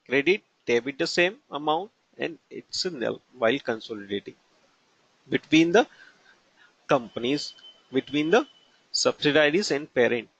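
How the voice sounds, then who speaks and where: A man with an Indian accent teaching as a trainer, explaining examples haltingly in short, broken phrases. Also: a little high, a bit bright, dry recording. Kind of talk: instructional lecture with examples. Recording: dull lo-fi narration, dry.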